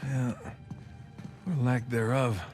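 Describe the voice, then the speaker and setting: An actor playing an eager but wary male adventurer in a cinematic video game, thinking aloud in a hesitant, animated way. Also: mid-range voice, takes it slowly, natural voice. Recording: game dialogue under clear music.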